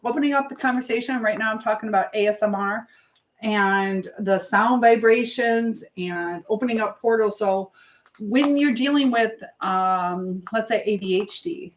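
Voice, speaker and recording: A woman speaks casually as the host of a live stream, in a medium-pitched voice. She rambles from thought to thought in a loose, unscripted way, like someone talking while busy with chores. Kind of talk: casual live chat monologue.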